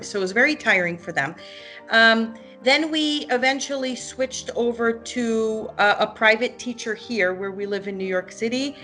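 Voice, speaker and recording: A Hungarian-born mother in her forties talks through a parent testimonial at a middle pitch. She pauses often, fills gaps with ums and restarts her sentences. Soft music plays faintly behind her. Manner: hesitant, many ums and restarts. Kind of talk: unscripted testimonial.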